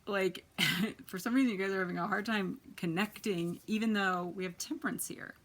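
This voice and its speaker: A woman in her thirties with a quiet, soft voice, chatting casually as she gives a tarot reading. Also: mid-range voice, fairly even intonation, moderate pace. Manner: chatty, lots of ums and likes. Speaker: tarot reader.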